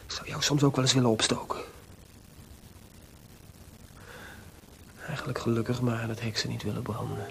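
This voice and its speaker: An actor playing a proud, jealous composer with a sarcastic, theatrical delivery in a radio play. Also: male voice, medium pitch, steady tone, fast talker, vocal fry, close to an everyday voice. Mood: quietly bitter. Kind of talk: radio drama acting.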